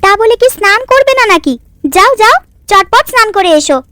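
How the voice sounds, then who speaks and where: A woman's voice: very high voice, lively intonation, brisk, sharp tone, dry recording, exaggerated voice. A woman voicing an affectionate, fussing village woman in a fairy-tale cartoon, coaxing and doting. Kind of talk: Bengali cartoon voice acting. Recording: background music under the voice.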